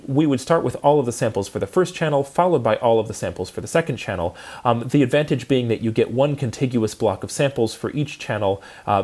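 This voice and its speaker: A man's voice: mid-range voice, darkish tone. Man giving a methodical technical lecture. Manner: methodical, step-by-step explaining with rhetorical questions. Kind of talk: instructional programming lecture, explanatory.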